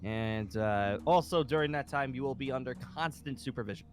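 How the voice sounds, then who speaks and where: A man playing a pompous, commanding colonel of a survivor base in an improvised Minecraft zombie roleplay, with halting, theatrical delivery. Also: medium pitch, soft background music, lightly acted. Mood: stern, commanding.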